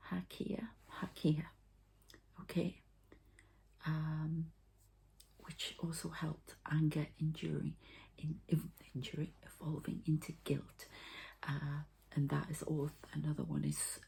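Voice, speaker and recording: A woman's voice: low voice, vocal fry, a little mellow, husky, poor audio. A woman whispering breathily in an ASMR style, explaining things informally step by step with some hesitation. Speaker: ASMR host and energy healer.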